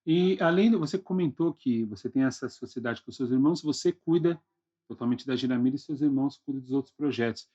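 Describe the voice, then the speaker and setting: A man's voice: medium pitch, a little fast, mellow. A man with a Brazilian accent hosting a casual podcast interview, informal and often checking for agreement with tag questions. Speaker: podcast host. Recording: slightly dull, lo-fi sound.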